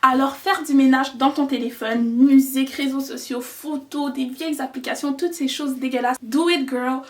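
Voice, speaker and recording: A woman's voice: high-pitched, steady tone, moderate pace, far from the mic. A young woman giving earnest, chatty life advice in a vlog, slipping in English words. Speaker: vlogger.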